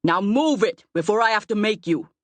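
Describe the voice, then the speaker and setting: A woman voicing a gruff, bullying male officer with coarse, mocking commands in an audiobook. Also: medium pitch, animated, moderate pace, dry recording, pitched above the performer's own voice, close to an everyday voice. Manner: coarse, barking, colloquial commands. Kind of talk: audiobook character voice.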